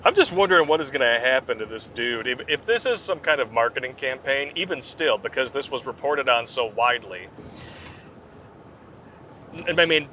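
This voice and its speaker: A man's voice: mid-range voice, lively intonation, moderate pace, pushed and bright, a little hiss or hum. A man co-hosting a casual comedy podcast, reading out a news story and riffing on it with laughs. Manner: chatty, laughs often, riffs on stories. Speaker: podcast co-host.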